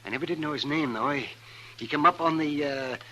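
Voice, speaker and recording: High, raspy, flustered frontier doctor. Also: male voice, brisk, pushed and bright, highs cut off. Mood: fussy, wry. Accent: American. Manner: stammering, repeating himself, chuckling dryly. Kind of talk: 1950s radio drama acting.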